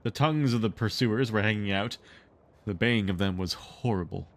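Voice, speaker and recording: A man reading a story aloud as an expressive narrator, shaping each sentence for listeners. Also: lowish voice, normal speed.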